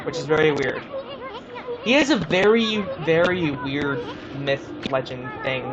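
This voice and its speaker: A woman's voice: sits low, expressive, unhurried, dull audio, a crowd in the background. A woman co-hosting a let's play, giving casual gameplay commentary in a hesitant, conversational way.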